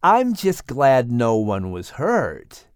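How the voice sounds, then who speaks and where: A man's voice: medium pitch, wildly swooping pitch, takes it slowly, clear, resonant tone, no room sound, studio quality. A man voicing a genial, easygoing male animal with a polite, chatty manner in an audiobook. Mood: friendly. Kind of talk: audiobook character voice.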